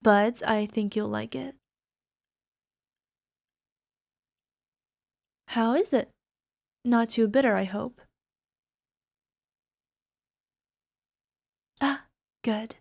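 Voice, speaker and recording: A woman playing a young, sweetly possessive yandere alchemist girlfriend in an ASMR roleplay, coaxing softly and sometimes slipping toward a whisper. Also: on the high side, measured pace, gravelly, dry recording, studio quality. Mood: proud, playful. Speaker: voice actor. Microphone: close microphone, dry.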